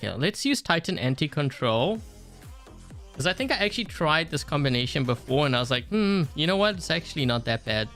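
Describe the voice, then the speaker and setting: A man's voice: fairly high pitch, sing-song, brisk, a bit bright, faint music behind. A man from South Africa commentating his own game live, chatting and thinking aloud with lots of fillers. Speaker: game streamer. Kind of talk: casual live-stream gameplay commentary.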